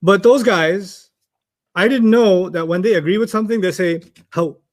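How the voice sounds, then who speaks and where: A man's voice: high-pitched, normal speed. A man, a native German speaker, teaching Arabic in a casual, chatty way and telling stories with laughter. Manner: chatty, storytelling, laughs often. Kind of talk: casual teaching chat with anecdotes.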